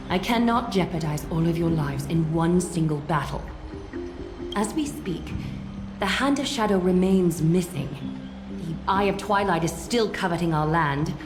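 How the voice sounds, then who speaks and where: A woman voicing a solemn, authoritative demigod leader in a video game, speaking formally and with measured command. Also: on the low side, lightly acted. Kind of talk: English video game voice acting. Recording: echoey, music underneath. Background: game music.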